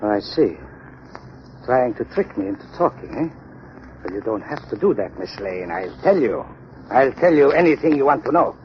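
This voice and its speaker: A cold-blooded male villain played by a radio actor, boastful and deliberate in his menace. The 1930s broadcast recording is muffled, with clear hiss and noise. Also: mid-range voice, sing-song, close to an everyday voice. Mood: sneering. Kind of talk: 1930s radio drama acting.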